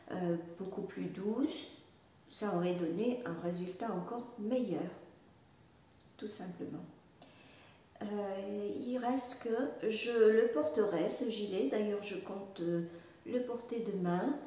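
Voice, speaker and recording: A woman's voice: mid-range voice, warm tone. A woman chatting casually about her knitting, hesitant and rambling, with many fillers and restarts. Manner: rambling, chatty, self-deprecating. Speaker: knitting and crochet podcaster. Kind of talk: casual chat showing knitwear. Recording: echoey room, voice from a distance.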